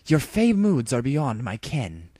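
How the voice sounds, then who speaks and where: A brooding pony princess of the night acted out by a man speaks in grave, archaic phrases with a haughty air. The pitch is lifted above the reader's natural voice, in a dry storybook recording. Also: huge pitch swings, takes it slowly, mellow, no room sound, exaggerated voice. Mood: grave reproach.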